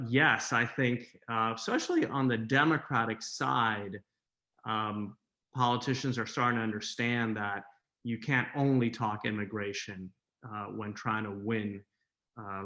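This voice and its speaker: Bright-voiced young man, measured. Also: on the low side. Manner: measured, explanatory, many fillers. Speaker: guest commentator. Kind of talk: video call interview. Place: home room. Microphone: video call.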